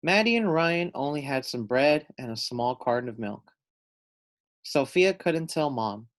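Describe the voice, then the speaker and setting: A man reading a children's picture book aloud with expressive, teacherly delivery and deliberate phrasing. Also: mid-range voice. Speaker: read-aloud storyteller.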